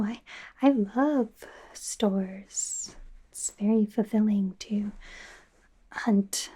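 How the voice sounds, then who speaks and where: A soft, breathy whisper carries this awkward young werewolf doctor, played by a woman. The voice is mellow and slow, with hesitant, rambling, self-deprecating delivery. It suits an intimate ASMR medical roleplay. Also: on the high side. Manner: hesitant, rambling, giggly, stammering.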